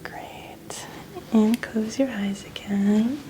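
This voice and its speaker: A woman giving gentle, slow ASMR instructions as a makeup artist, speaking in a soft, breathy whisper. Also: mid-range voice, fairly even intonation, slight background noise, poor audio.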